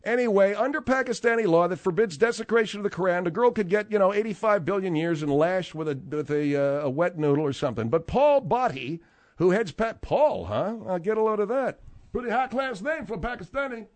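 A male radio host with a fairly high, very lively voice who bickers with callers and cracks jokes over the news. He sounds exasperated and quick. The studio sound is close and dry. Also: no room sound. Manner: exasperated, wisecracking, reads news with asides. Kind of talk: comedic radio banter.

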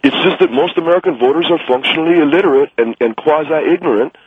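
A man's voice: a little high, fast talker, slightly rough, no echo, narrow, tinny audio. A young man calling in to a talk radio show, brash, mocking and crude as he argues with the host and another caller. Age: young adult. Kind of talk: heated talk radio call.

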